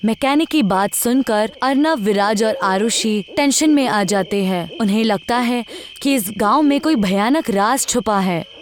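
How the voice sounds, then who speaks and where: A woman's voice: fairly high pitch, lively intonation, measured pace. A woman narrating a scripted horror story. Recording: music under the voice.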